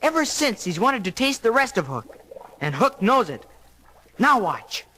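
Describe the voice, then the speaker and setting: A young male actor playing a bold, cocky boy hero, brisk and commanding, in a children's story recording. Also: very high-pitched, very animated, sharp tone, a little hiss or hum, heavily acted. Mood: conspiratorial.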